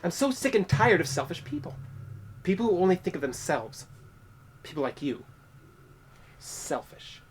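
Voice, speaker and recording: A man's voice: high voice, very animated, normal speed, pauses often, slight background noise, low-quality recording. An actor playing a resentful, unhinged young man in a horror audio drama, with an accusatory, menacing manner. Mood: bitter, resentful.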